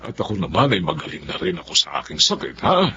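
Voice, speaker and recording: A man playing a caring, wistful middle-aged father in a drama, with a gravelly voice and Filipino accent. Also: low-pitched, wildly swooping pitch, fast talker, sharp tone, muffled recording, slight background noise, full character voice. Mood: concerned, wistful.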